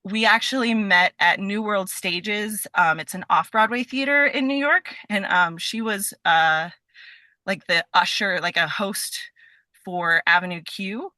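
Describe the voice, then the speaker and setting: A woman's voice: medium pitch, measured pace, bright, forceful tone, no room sound. A woman chatting casually as a podcast guest, enthusiastic and talkative, peppering her sentences with "like". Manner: chatty, enthusiastic, heavy use of "like". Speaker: podcast guest, stage performer and voice actor. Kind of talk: casual interview chat.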